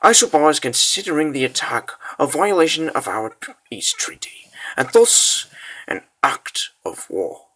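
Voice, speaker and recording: Quietly menacing monarch, played by a man, formal and measured, unhurried, with widely moving pitch. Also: sing-song, full character voice, low-quality recording. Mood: commanding. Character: measured, sardonic male king giving orders. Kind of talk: fantasy comic dub.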